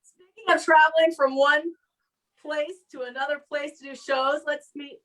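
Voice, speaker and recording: A woman's voice: high-pitched, flat delivery, moderate pace, a bit bright, poor audio. A woman hosting a casual online comedy chat from home, rambling along and acting out what people said. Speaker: host of an online comedy show. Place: home, video call.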